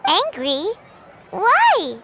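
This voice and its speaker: A woman voicing a tiny, excitable childlike fairy companion in a video game, squeaky and teasing. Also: very slow, noisy background, full character voice. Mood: surprised, curious. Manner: exclamatory, teasing. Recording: music playing behind the voice.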